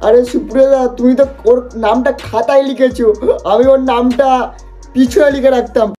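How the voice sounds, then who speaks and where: High-pitched mocking roast host, music behind. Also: steady tone, brisk, mellow. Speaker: prank video host and roaster. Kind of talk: teasing comic roast commentary.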